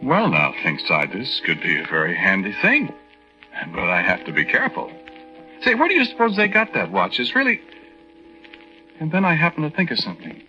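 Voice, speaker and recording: A man's voice: mid-range voice, wildly swooping pitch, moderate pace, sharp tone, soft background music, full character voice. A man playing a meek, earnest young American army private in a 1940s radio drama, hesitant and stammering, confiding his story with folksy exclamations. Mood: confiding, agitated. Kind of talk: 1940s radio drama acting, confiding first-person narration. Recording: dull, band-limited 1940s radio recording.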